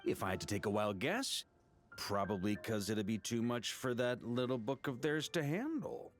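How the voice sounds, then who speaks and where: An actor playing an easygoing, boastful veteran knight commander in a video game, telling tales with theatrical self-assurance. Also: male voice, medium pitch, expressive, normal speed, close to an everyday voice. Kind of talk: English video game voice acting. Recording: game music behind the voice. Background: game music.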